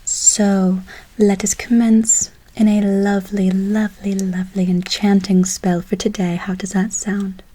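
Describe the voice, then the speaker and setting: A woman playing a gentle, doting witch in a whispered ASMR role play, slow and soothing with a breathy voice, repeating words softly. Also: medium pitch, level tone, natural voice, rough recording. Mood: soothing, enchanting. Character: gentle doting forest witch casting a spell. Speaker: ASMR performer.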